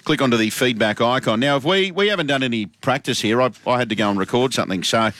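Matey Australian radio host. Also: male voice, mid-range voice, quick pace, a bit bright, no room sound, studio quality. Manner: upbeat, matey banter. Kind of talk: casual radio chat.

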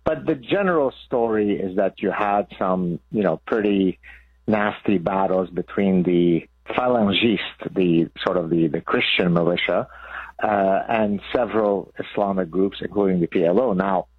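Husky man in his sixties, phone-like line. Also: low voice, unhurried, no echo. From Lebanon. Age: 60s. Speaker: interview guest, professor and author. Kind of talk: explanatory interview talk. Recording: narrow tinny phone-like line.

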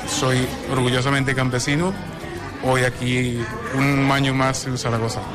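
A Venezuelan man who leads a community association, answering interview questions earnestly and proudly, pausing on fillers. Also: mid-range voice, level tone, over loud music. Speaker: association leader.

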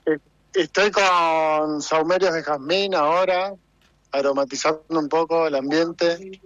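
A man's voice: fairly high pitch, fairly even intonation, measured pace, a bit bright, no room sound. A man, a stallholder interviewed on radio, giving plain, brief answers. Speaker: candle and incense vendor being interviewed. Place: outdoor fair stall. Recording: dull radio remote sound.

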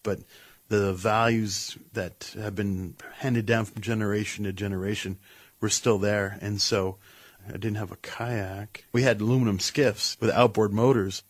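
A man's voice: fairly low pitch, steady tone, measured pace, breathy edge, dry recording. A man in a documentary interview, speaking in a reflective, plain-spoken way.

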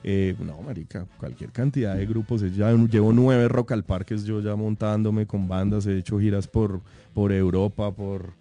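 A Colombian man with a Bogotá accent and a slightly low, very mellow, dark voice. He talks as a guest in a casual interview, telling anecdotes with plenty of local slang. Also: fairly even intonation, normal speed, no room sound. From Colombia, raised in Bogotá and Villavicencio. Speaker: interview guest, rock singer.

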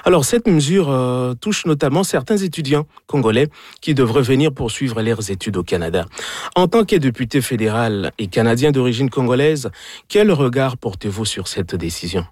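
A man's voice: medium pitch, expressive, moderate pace, darkish tone, no room sound, studio quality. A man working as an interviewer, putting formal questions in carefully phrased sentences.

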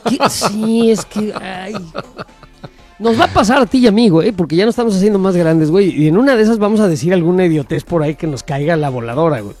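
A man's voice: high voice, fast talker, a little mellow, soft background music. A man with a Mexican accent co-hosting a talk show, chatting casually with playful, slangy banter. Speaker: co-host of an entertainment talk show. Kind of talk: casual comedic chat.

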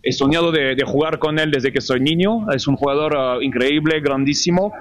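Man, interpreter, brisk plain delivery, slightly high, dry recording. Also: no echo.

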